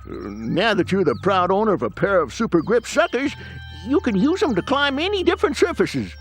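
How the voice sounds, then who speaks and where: Folksy old guide, high gravelly drawl, lively swoops, soft music behind. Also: male voice, brisk. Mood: coaxing. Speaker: tutorial guide.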